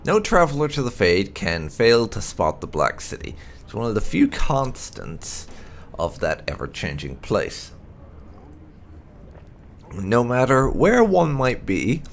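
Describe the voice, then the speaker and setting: A man hosting a gaming video, reading lore entries aloud in a theatrical, expressive way with occasional asides. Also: mid-range voice, measured pace, creaky.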